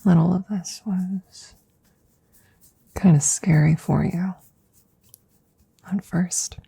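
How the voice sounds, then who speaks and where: Woman acting as a soft low witch, super breathy, slow n reassuring, asmr roleplay whispering. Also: steady tone, full character voice. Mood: tender, reassuring. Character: gentle protective witch soothing her werewolf familiar. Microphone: close microphone. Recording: close-up whispery ASMR recording.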